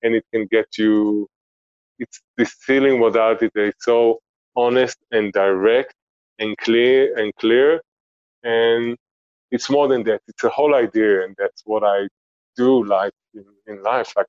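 A man in his forties with an Israeli accent, a podcast guest speaking slowly and reflectively from home, often searching for words. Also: medium pitch, steady tone, a little mellow, no echo, muffled recording. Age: 40s. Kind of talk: podcast interview. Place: home, remote interview.

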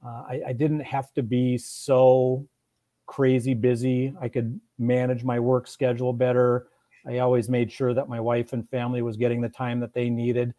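Male podcast guest, coach type. Measured, conversational delivery, average pitch, dry sound free of room echo. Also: completely flat delivery, dark tone. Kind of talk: casual interview conversation.

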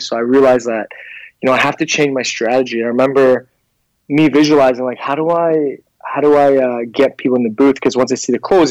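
A man's voice: medium pitch, a little mellow, no echo, low-quality recording. Man in his thirties, from Canada, rambling storytelling, frequent ums, dry close sound. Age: 30s. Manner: rambling, hesitant, many fillers and restarts. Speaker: guest, clothing brand founder. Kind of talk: casual interview storytelling.

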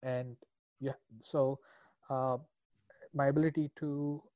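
A man's voice: mid-range voice, little pitch movement, slow pace, no room sound, dull audio. A middle-aged man with a foreign accent in English, a business coach answering podcast interview questions in an earnest, reflective, rambling way.

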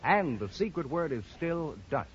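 Male quiz announcer, highish and raspy, muffled vintage radio broadcast. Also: big pitch swings, moderate pace. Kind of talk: old-time radio announcing.

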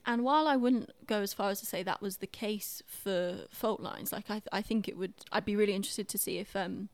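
A woman's voice: mid-range voice, brisk, no room sound. A young woman chatting casually on a podcast, recounting things in a discursive, hesitant way. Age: young adult. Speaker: podcast speaker, theatre maker. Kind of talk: casual chat, anecdotal.

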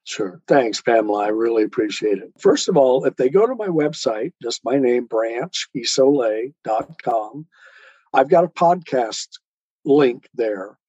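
A mellow, husky-voiced man answers as a guest in a conversational interview. The sound is dry and a little muffled and lo-fi. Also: mid-range voice, animated, measured pace, no room sound. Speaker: podcast guest, author and poet.

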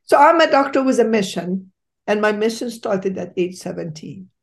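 A middle-aged woman from Egypt recounts her life in an eager, emphatic way. She pauses on fillers, restarts sentences, and leans hard on key words as a podcast host and doctor. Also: mid-range voice, lively intonation, creaky. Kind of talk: conversational storytelling interview.

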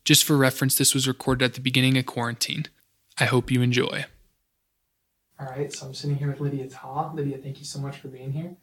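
A man's voice: medium pitch, flat delivery, brisk, fairly bright tone, breathy edge. A young man in his twenties hosting a podcast, reading an upbeat intro and then asking casual interview questions. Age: 20s. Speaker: podcast host.

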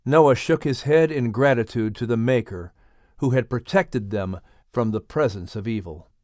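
A man's voice: mid-range voice, normal speed, a little mellow, no room sound, studio quality. A man hosting and narrating a scripted story for a family audiobook show. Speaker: host and narrator.

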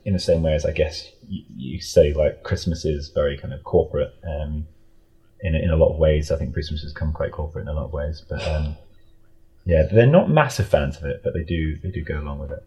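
A man chatting casually as an interview guest, in a rambling, halting way that often doubles back on itself. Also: very deep voice, dark tone, slightly rough, poor audio. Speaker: audio drama creator, interview guest.

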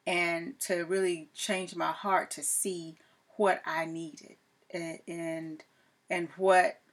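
A woman in her forties speaks candidly to the camera in a reflective, personal way, often restarting sentences and leaning on fillers. Her pace is slow and unhurried, and her voice sits somewhat low. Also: level tone. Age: 40s. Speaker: podcast host. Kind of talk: casual personal talk to camera.

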